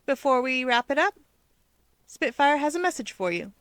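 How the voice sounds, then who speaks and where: A woman's voice: sits high, lively intonation, moderate pace, fairly bright tone, dry recording. Woman narrating fiction, close and dry. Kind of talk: expressive fan fiction narration.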